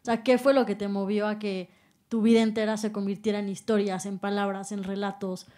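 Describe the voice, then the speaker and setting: A young adult woman, a university student, puts a question to the guest. She speaks earnestly and chooses her words with care, in a flat, even tone. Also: medium pitch, a little fast. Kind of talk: audience question.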